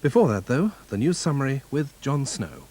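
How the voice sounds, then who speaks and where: A man's voice: medium pitch, very animated, normal speed. A man with a British accent making scripted television continuity announcements. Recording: faint tape hiss.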